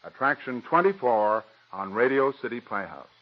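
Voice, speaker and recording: A dull vintage radio recording of an American man with a mid-pitched voice. He reads a formal scripted introduction as a 1940s drama host. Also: very animated, normal speed. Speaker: director and host.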